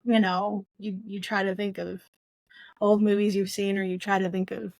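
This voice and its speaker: Halting woman podcast host, dry sound. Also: medium pitch, little pitch movement, no room sound. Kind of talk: casual book discussion.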